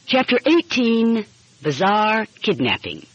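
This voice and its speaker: A woman's voice: medium pitch, wildly swooping pitch, takes it slowly, dry recording. A woman reading a mystery story aloud as scripted audiobook narration. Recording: muffled, dull lo-fi audio.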